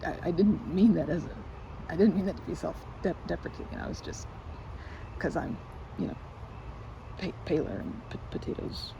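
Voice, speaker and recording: A woman's voice: lowish voice, moderate pace, creaky, pauses often, highs cut off, noisy background. A woman playing a timid, sweet, rambling young woman in an ASMR roleplay, speaking to the listener with shy, hesitant stammers. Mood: flustered.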